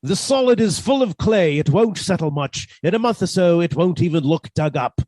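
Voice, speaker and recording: A man's voice: high-pitched, expressive, moderate pace, a little mellow, no room sound. A man playing a henpecked husband turned murderer in a radio suspense drama, fussy, precise and politely menacing. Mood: gloating, menacing.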